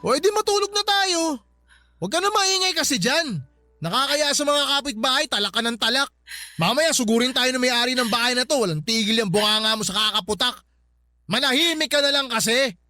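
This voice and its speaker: A drunk bullying filipino boyfriend in a radio drama, really high sharp voice, taunting and blaming. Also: male voice, lively intonation, brisk, no echo, soft background music, full character voice. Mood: irritated, dismissive. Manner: taunting, dismissive, blame-shifting. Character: drunken, defensive, bullying young live-in boyfriend.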